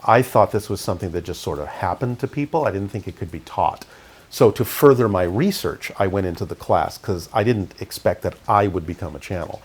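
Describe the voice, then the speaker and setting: A middle-aged man, raised in California, calmly recounting his experiences as an interview guest. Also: breathy edge. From Canada, raised in California. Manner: calm, measured, low-key delivery. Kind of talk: reflective interview storytelling.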